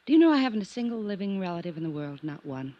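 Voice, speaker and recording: A woman's voice: on the low side, sing-song, rapid-fire. Wistful, guarded woman, muffled old radio. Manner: wistful, dramatic. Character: lonely, wistful, guarded young woman under suspicion. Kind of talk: 1950s radio drama acting. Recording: noisy, muffled 1950s radio recording.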